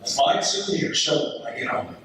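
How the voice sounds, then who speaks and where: A man's voice: fast talker, close to an everyday voice. A man playing a British soldier reading his letter home from the trenches in a readers theater performance. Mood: storytelling. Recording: very echoey hall, distant mic, noisy.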